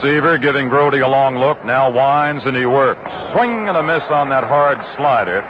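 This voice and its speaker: A male radio announcer calls a baseball game pitch by pitch in a brisk, detailed way. His voice comes through a thin, phone-like 1960s AM broadcast. A steady hiss sits under it. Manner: brisk, detailed, rises in pitch on exciting plays. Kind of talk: radio play-by-play commentary. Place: ballpark broadcast booth.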